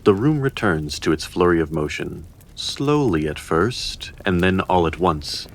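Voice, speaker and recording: A man's voice: low-pitched, lively intonation, unhurried, full character voice. A man narrating a fantasy audio drama as a solemn storyteller, in scripted dramatic style. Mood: measured.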